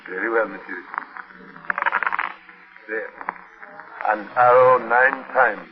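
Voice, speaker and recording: A man's voice: on the low side, background noise. A deferential island servant. He speaks formally and carefully, like a fortune-teller at work, in 1940s radio drama style. The sound is muffled and aged. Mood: solemn, foretelling. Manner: formal, polite, measured.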